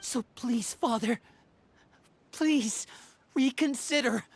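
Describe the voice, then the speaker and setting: A woman's voice: fairly high pitch, takes it slowly, a little hiss or hum. A woman voicing an earnest, respectful young man in a video game, youthful and imploring. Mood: pleading. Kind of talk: English video game dub acting.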